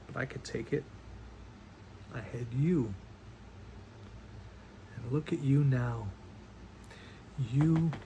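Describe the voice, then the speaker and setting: A man playing a doting middle-aged father in a stage monologue over a video call, earnest and halting, stammering as he rambles to his daughter. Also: on the high side, darkish tone. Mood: wistful, self-deprecating, then tender, adoring. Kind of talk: one-act play monologue performed on video call. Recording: noisy video call audio.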